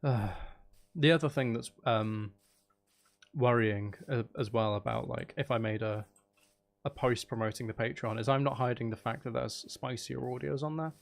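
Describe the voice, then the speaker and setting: A man with a gravelly edge, chatting casually to viewers as he plays a game, rambling and trailing off as he thinks aloud. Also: mid-range voice, steady tone, normal speed. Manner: rambling, trailing off, muttering to himself. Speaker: streamer and audio creator chatting with viewers. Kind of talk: casual livestream gameplay chat.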